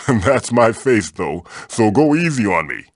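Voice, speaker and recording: A man's voice: lowish voice, sing-song, measured pace, no room sound, studio quality. A man voicing a magical floating tiki mask in a video game, a fatherly guide who is theatrical, encouraging and wry. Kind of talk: video game character voice lines, tutorials and quips.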